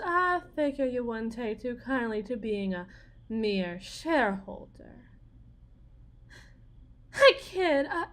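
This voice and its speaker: A woman playing a desperate, cunning battered young mother bargaining in a dramatic audio roleplay, halting, wry and theatrical. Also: high voice, fairly bright tone, full character voice. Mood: sly, wry, then nervous, pleading. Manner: halting, wry, theatrical, with repeated words and pauses.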